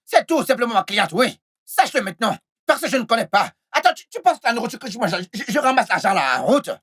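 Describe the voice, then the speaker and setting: A man's voice: extremely high pitch, very animated, fast, a bit bright, slightly rough, full character voice. A man playing a volatile, scheming husband in a film melodrama, repeating himself and stammering through his words. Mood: angry, agitated. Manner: repetitive, stammering delivery. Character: volatile, scheming unfaithful husband with a short temper.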